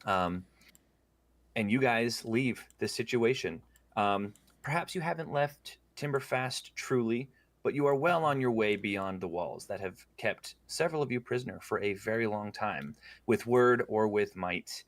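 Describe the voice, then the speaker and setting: A man running a tabletop game, narrating scenes slowly, with frequent fillers. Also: on the low side, no room sound. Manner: slow descriptive narration with fillers. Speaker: game master.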